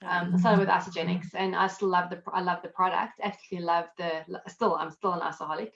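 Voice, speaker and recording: A woman with a South African accent, chatting casually as an interview guest, self-deprecating and quick to laugh. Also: on the low side, level tone, vocal fry, fairly bright tone, dull audio. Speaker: guest, accountant and network marketer.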